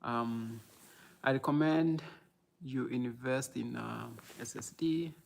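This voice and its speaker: Creaky-voiced Kenyan man chatting casually. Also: medium pitch, slow. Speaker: content creator and livestream host. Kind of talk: casual livestream chat answering viewers.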